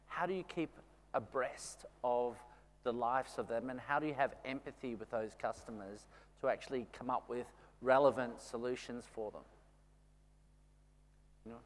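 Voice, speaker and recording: A man moderating a conference panel, enthusiastic and quick to affirm the panelists. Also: medium pitch. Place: large conference stage. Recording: long hall reverb.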